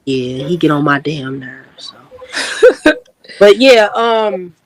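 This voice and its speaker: A woman's voice: deep voice, expressive, takes it slowly, low-quality recording. A woman in her late thirties from Chicago, chatting candidly and casually on an online panel in slangy, laid-back talk. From Chicago, USA. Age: 30s. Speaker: panel guest.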